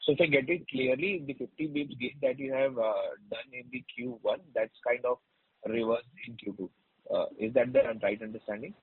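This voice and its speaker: A man's voice: medium pitch, sing-song, brisk, creaky, slightly rough, dry recording. A man asking a question as an analyst on an earnings call. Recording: dry band-limited phone line.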